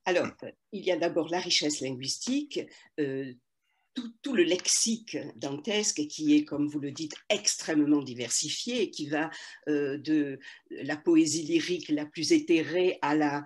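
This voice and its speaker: Slow, hesitant older woman translator. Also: low voice. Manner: slow, measured, many hesitations and restarts. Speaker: literary translator, interview guest. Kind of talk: thoughtful interview answers.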